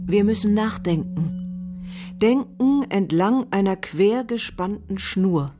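Langsame, feierliche Rezitatorin mystischer Verse. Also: female voice, mid-range voice, animated, slow pace, over music. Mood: solemn. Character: solemn woman reciting mystical ancient verses. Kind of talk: incantatory recitation in a radio play.

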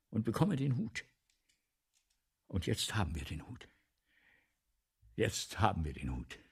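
A husky, creaky-voiced ageing theatre man, obsessed and tormented. His speech swings widely in pitch, from hush to declamation, as he insistently repeats his phrases in a radio drama monologue. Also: full character voice. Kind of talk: German radio play acting, theatrical monologue.

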